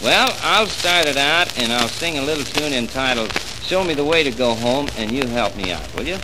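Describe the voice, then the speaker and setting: A man's voice: medium pitch, expressive, moderate pace. A man with folksy, jovial stage patter, announcing on a live 1940s country radio show. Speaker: radio barn dance performer and announcer. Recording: old home-cut disc of 1940s radio, crackly.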